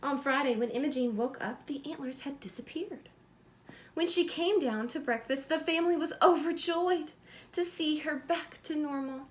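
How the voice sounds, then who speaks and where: A woman reading a children's story aloud like a teacher, pausing to explain simply and ask encouraging questions. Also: high-pitched, slight background noise.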